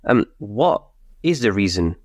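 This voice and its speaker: A man with a low, steady voice hosts a podcast in a measured, formal manner. He opens with Arabic greetings. The recording is dry and close. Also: no room sound. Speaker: podcast host. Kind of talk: podcast hosting with scripted intro.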